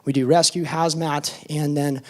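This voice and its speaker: A man serving as a fire department battalion chief, giving an informal presentation at a public forum with a hoarse, worn voice. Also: a little high, fairly even intonation, a little slow, darkish tone. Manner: informal, with frequent ums and uhs. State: hoarse, losing his voice.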